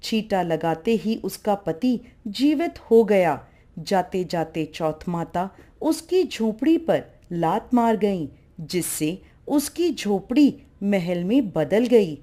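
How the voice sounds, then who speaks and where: Female folk-tale narrator, soft-toned and unhurried, for devotional storytelling. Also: mid-range voice, big pitch swings.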